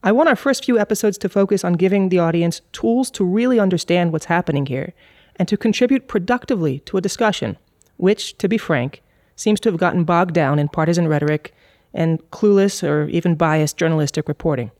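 A woman's voice: lowish voice, brisk, darkish tone, no room sound, studio quality. A woman hosting a podcast, with a polished, articulate delivery. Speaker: podcast host. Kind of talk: scripted podcast intro and moderating.

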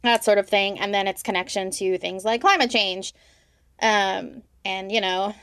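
Woman, mid-pitched, very dry sound. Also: unhurried, fairly bright tone, clean tone, dry recording. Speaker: podcast host. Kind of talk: casual interview chat.

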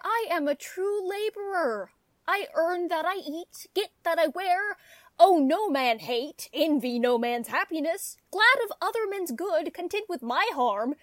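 A woman's voice: very high-pitched, dry recording, clean studio sound. A woman playing an honest, contented, plain-spoken male shepherd in a Shakespearean radio play. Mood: humble, proud.

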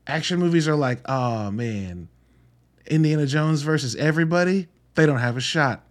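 A man's voice: on the high side, animated, normal speed. A man hosting a solo podcast in a casual, enthusiastic and emphatic way, with informal language and wry asides. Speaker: podcast host reviewing films.

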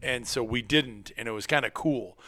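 A man's voice: mid-range voice, normal speed, heavy background noise. A middle-aged American man with a joking, folksy manner, hosting a podcast and telling stories casually. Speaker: podcast host. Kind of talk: casual conversational storytelling.

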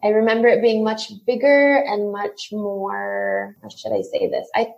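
A woman's voice: mid-range voice, a little slow, gravelly, highs cut off. A woman, chatting casually as a podcast guest. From California, USA. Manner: relaxed, chatty, many fillers. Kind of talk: casual chat.

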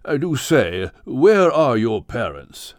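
A man voicing a jolly giant whale with a plummy, old-fashioned gentlemanly manner, in an audiobook story. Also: medium pitch, very animated, slow pace, no echo, studio quality. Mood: politely inquiring.

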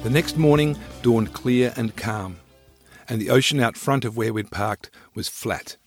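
A man narrating his own scripted travel memoir in a measured, descriptive storytelling manner. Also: medium pitch, breathy edge, soft background music.

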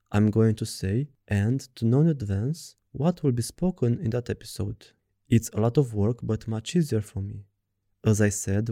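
A man with a Romanian accent hosts a podcast, reading his own script aloud with careful, measured delivery. His voice is very warm and mellow, set fairly low. The recording is dry and close to the mic. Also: no room sound. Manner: reads a prepared script in an even, deliberate way. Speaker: podcast host.

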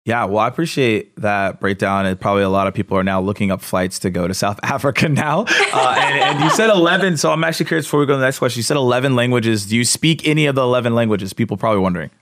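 A man's voice: mid-range voice, racing, hardly any pauses, a bit bright, no room sound, clean studio sound. A man hosting an interview, asking questions in a friendly, encouraging way. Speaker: host. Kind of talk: conversational interview.

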